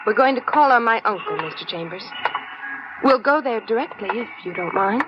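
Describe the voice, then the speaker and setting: A woman's voice: on the high side, expressive, a little fast, close to an everyday voice. An actress playing an elegant, forthright young woman of mystery in a 1950s radio drama. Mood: poised, direct. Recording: noisy, muffled 1950s radio broadcast recording.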